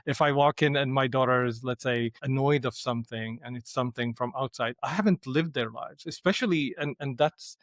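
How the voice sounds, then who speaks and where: A man's voice: mid-range voice, brisk, few pauses, no echo, clean studio sound. A middle-aged man from Syria, a podcast guest speaking reflectively with frequent restarts. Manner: thoughtful, hesitant with restarts. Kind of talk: reflective conversation.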